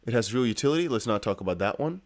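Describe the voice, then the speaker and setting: A man's voice: medium pitch, lively intonation, very fast. A man from the Netherlands with a Dutch accent, chatting to viewers in a casual, emphatic livestream commentary. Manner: chatty, emphatic, repeats points, addresses viewers directly. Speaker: crypto news streamer.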